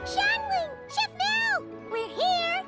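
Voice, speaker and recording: A woman voicing a tiny, bubbly, childlike fairy companion in a video game, squeaky and exclamatory, speaking of herself in the third person. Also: sing-song, slow pace, over loud music, full character voice. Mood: excited. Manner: refers to self in third person, exclamatory, cutesy. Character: tiny excitable floating fairy companion, female, childlike, bubbly, food-loving.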